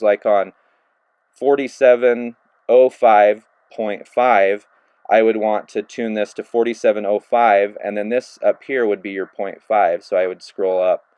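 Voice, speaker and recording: A man giving a relaxed, casual hands-on review of a handheld radio, narrating each step with plenty of fillers as he works the controls. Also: lowish voice, no room sound. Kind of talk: casual hands-on product demo.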